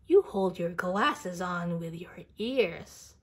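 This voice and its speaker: A woman voicing a whimsical, insistent little girl from a picture book, earnest and emphatic with drawn-out words. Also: on the low side, animated. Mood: earnest, dreamy.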